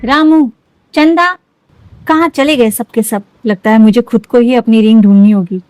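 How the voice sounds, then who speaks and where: A woman's voice: on the high side, normal speed, a little mellow, natural voice, poor audio. A woman voicing a bossy, suspicious working madam of the house in a toy cartoon, in a commanding manner. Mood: suspicious, hurried.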